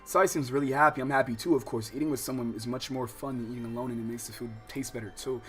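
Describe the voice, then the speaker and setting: A man with a bright, forceful voice is livestreaming a visual novel. He talks in loose slang, moving between reading the story aloud and reacting to it in an animated, exclamatory way. Music plays faintly behind him. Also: mid-range voice, fast. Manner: animated, loud exclamatory outbursts. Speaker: streamer playing a visual novel. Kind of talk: livestream let's play, casual slangy reactions mixed with reading game text aloud.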